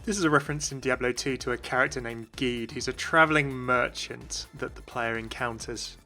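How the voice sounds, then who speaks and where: A man's voice: mid-range voice, fairly even intonation, a little fast, bright, forceful tone. A man hosting a gaming video, giving scripted explanations in narration. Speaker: video host. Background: music.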